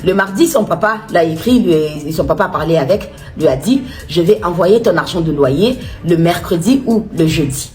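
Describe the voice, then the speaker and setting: A woman from Kinshasa making an anxious appeal as a mother, halting and full of restarts. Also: lowish voice, expressive, a little mellow, faint music behind. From Congo (Kinshasa). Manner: halting, distressed, many restarts. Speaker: mother of a missing student. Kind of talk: personal appeal video.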